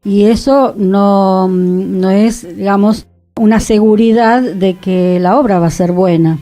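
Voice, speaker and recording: A woman's voice: mid-range voice, fairly even intonation, takes it slowly, warm tone, soft background music. A woman, an actress co-hosting a radio talk show, explaining her points thoughtfully. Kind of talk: casual radio discussion. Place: radio studio.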